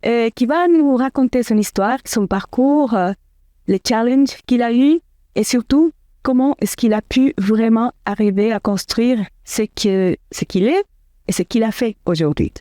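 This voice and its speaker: Woman host asking questions on a podcast, earnest, a few "euh" pauses, dry close sound. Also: on the high side, dark tone, no echo. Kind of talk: interview hosting.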